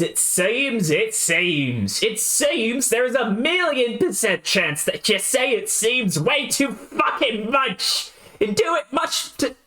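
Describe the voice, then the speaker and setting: A man reading a story aloud and voicing a brash, excitable young male adventurer who talks loud and swaggering. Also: high-pitched, pitched above the performer's own voice, exaggerated voice.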